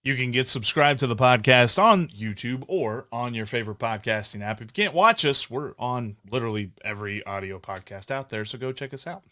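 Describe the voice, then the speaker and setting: A man of middle pitch hosts a casual sports podcast. He talks in an opinionated, conversational way, often restarting his sentences. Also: lively intonation, brisk, hardly any pauses, sharp tone. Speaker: podcast host.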